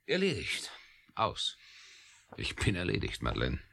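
A man's voice: a bass voice, huge pitch swings, a little slow, creaky, sharp tone, breathy edge, heavily acted. A man playing a flustered, irritable, anxious professor in a German radio drama, fretful and exclamatory. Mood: resigned.

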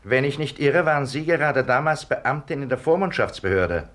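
An actor in a 1950s radio play playing a grave military captain who speaks in a terse, pointed manner. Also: male voice, mid-range voice, lively intonation, normal speed, fairly bright tone, lightly acted. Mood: accusing.

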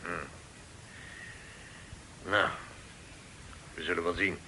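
A man's voice: low voice, steady tone, drawn-out words, noisy background. An actor in a Dutch radio drama playing an imperious pirate lord and stern father, speaking curtly and with command. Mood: cold, commanding. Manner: curt, commanding.

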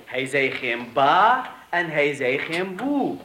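A bright, high-pitched man tells a children's tale in a playful, theatrical manner. He takes his time, and his voice rings in an echoey room. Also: sing-song, slow pace. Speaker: storyteller. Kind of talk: expressive children's verse storytelling. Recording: echoey room with faint noise.

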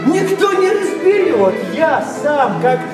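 An effusive chatterbox in a verse radio drama. He declaims slowly in a very high-pitched male voice, boasting and abasing himself theatrically, in an echoey hall with music behind. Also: sing-song, distant mic, heavily acted. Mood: excited boasting. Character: effusive, boastful, self-abasing man, a noisy muddled chatterbox.

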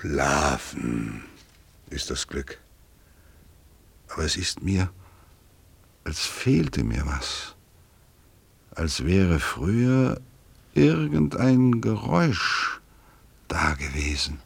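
A man's voice: extremely low pitch, big pitch swings, drawn-out words, gravelly, long pauses, breathy edge, full character voice. An actor playing a domineering, irritable expedition man in a brusque, commanding way, in a German radio play. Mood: musing, subdued.

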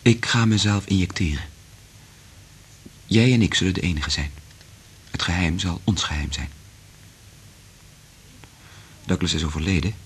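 An actor playing an earnest, resolute scientist in love in a radio play, speaking in a measured, intimate way with a husky voice. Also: male voice, low-pitched, heavily acted. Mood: tender, resolute. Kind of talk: Dutch radio play acting.

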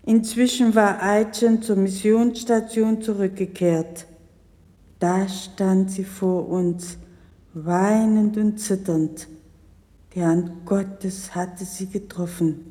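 Compassionate missionary woman played by an actress, solemn storyteller, measured delivery, mellow voice, hall reverb on vintage vinyl. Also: mid-range voice, fairly even intonation, natural voice. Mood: grave, sorrowful. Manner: grave, measured. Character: grave, compassionate female missionary recounting her memories. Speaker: narrator. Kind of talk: solemn scripted audio drama narration.

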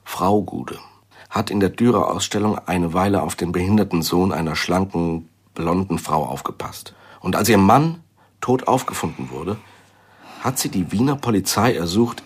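A guarded secret-service man in a radio crime drama. His voice is very low and husky, and he sets out his business in measured, deliberate phrases.

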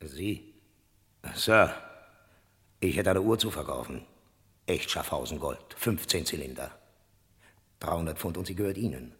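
A man's voice: low voice, lively intonation, a little slow, pauses often, fairly bright tone, big-room sound. An actor playing a brazen, shady crook in a comic radio play, pushing his wares with glib tall tales. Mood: pushy, brazen. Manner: pushy, glib, tall-tale patter. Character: shady, brazen male crook peddling a gold watch. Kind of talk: comic radio crime drama acting.

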